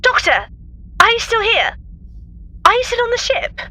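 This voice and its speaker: A woman's voice: extremely high pitch, sing-song, normal speed, bright, forceful tone, exaggerated voice. A woman acting in an audio drama as a plucky, exclamatory young companion to a time traveller. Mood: alarmed, relieved.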